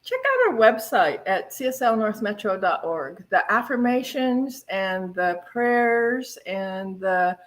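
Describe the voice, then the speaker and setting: A woman's voice: medium pitch, animated, slow, few pauses. A woman minister hosting a spiritual service with casual announcements and chatty, giggly asides.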